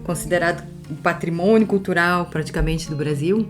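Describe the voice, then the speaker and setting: Lady hosting a chatty podcast, lowish voice, guitar playing softly behind. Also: brisk. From southern Brazil. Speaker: language podcast host. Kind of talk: casual conversational podcast chat.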